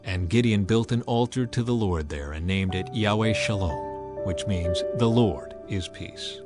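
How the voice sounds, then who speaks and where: A man's voice: low voice, normal speed. A man narrating scripture as a scripted, dramatized audio reading. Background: music.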